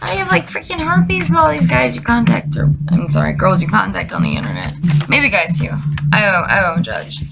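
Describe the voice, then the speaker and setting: Casting: woman, 20s, fry-laden voice, sweary exasperated comic rambler, loud music underneath. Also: mid-range voice, lively intonation, moderate pace, poor audio. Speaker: video creator. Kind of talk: casual comedic commentary with read-out messages.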